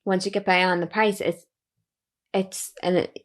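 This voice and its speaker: Woman host with soft backchannels. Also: on the low side, little pitch movement, a little slow. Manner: frequent soft backchannel reactions, curious follow-up questions. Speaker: podcast host. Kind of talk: casual interview chat.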